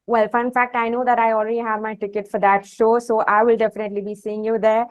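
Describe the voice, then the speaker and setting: A woman's voice: fairly high pitch, little pitch movement, quick pace. A woman with an Indian accent putting conversational questions to a guest as an interviewer.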